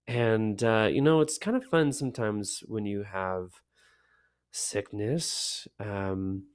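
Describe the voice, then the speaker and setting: Slow-talking sick man with a deep voice. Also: clean tone. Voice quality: deep, low voice. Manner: relaxed and rambling, many fillers. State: sick, with a lowered voice. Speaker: podcast host. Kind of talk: casual solo podcast monologue.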